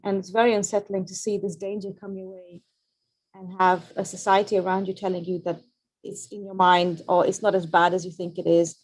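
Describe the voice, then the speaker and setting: A woman from Pakistan, a journalist, giving an earnest, measured talk over a video call. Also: mid-range voice, a little mellow, poor audio. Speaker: journalist, panel speaker. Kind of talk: prepared webinar talk.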